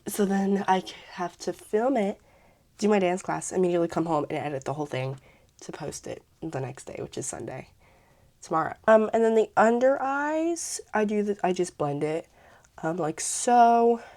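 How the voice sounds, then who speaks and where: A teenage girl talking through her makeup routine in a casual, chatty way, her voice hoarse from a cold. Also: medium pitch, animated, normal speed, darkish tone. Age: teens. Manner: chatty, filler-heavy, self-mocking. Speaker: beauty vlogger.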